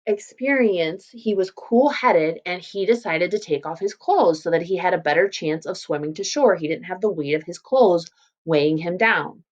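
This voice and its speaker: A woman's voice: medium pitch, darkish tone, lo-fi sound. A woman teacher reading a story aloud and explaining it in an informal way. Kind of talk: read-aloud lesson with casual explanatory commentary.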